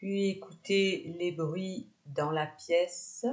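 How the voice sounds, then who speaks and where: A woman leading a guided yoga nidra relaxation, giving unhurried, soft instructions with pauses between phrases. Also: lowish voice, fairly even intonation.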